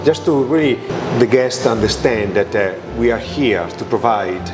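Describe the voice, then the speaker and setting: A man's voice: medium pitch, expressive, normal speed, over music. A man from Italy with an Italian accent, a restaurant owner answering interview questions with many fillers and restarts.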